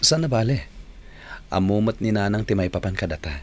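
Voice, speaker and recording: A man's voice: fairly low pitch, expressive, fairly quick. A man with a Filipino accent playing an earnest young long-distance boyfriend in a radio drama. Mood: reassuring.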